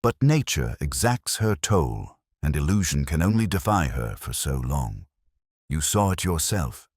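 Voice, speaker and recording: A man voicing a grave, incisive detective in a mystery audiobook, speaking in measured, solemn, oratorical phrases. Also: extremely low pitch, big pitch swings, a little mellow, husky, dry recording, clean studio sound, exaggerated voice. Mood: reflective, solemn. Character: grave, incisive male detective explaining a case.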